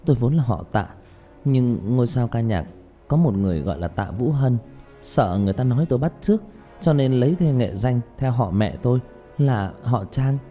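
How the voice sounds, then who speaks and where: A man's voice: mid-range voice, animated, moderate pace, mellow, faint music behind, natural voice. A man voicing a polite, hesitant young female singer in an audiobook story, with an earnest manner. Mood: apologetic, explaining. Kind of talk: audiobook character dialogue.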